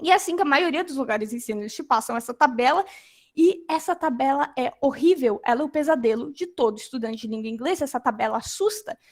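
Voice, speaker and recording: A young adult woman giving an informal lecture, animated and acting out quoted reactions. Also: sits high, fast talker.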